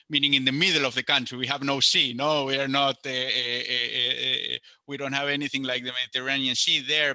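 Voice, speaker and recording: An economist from Argentina with an Argentine Spanish accent, answering interview questions in English and often stopping with fillers and repeated words. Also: male voice, mid-range voice, sharp tone, dry recording. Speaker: economist guest.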